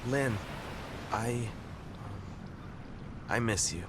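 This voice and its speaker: An actor playing a nervous young male gang mechanic who talks haltingly and pleadingly in a video game cutscene. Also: medium pitch. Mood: uneasy. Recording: loud background noise.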